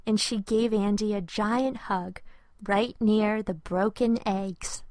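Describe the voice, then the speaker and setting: A woman's voice: mid-range voice, fairly even intonation, takes it slowly, darkish tone, dry recording. A woman reading a children's storybook as a scripted narration, expressively and with careful phrasing for young listeners.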